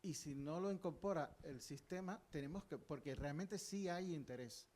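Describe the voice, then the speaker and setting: Older guy talking at a panel, kinda high voice, earnest, lots of ehs. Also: normal speed, a little mellow. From Cuba. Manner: earnest, discursive, many fillers and restarts. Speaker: audience member, historian. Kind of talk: public panel discussion.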